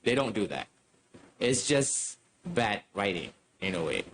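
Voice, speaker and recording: Deep-voiced, animated male game commentator. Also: a little slow, a little hiss or hum. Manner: opinionated, repeats words for emphasis. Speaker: gaming channel host. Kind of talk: casual game playthrough commentary. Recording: game music behind the commentary.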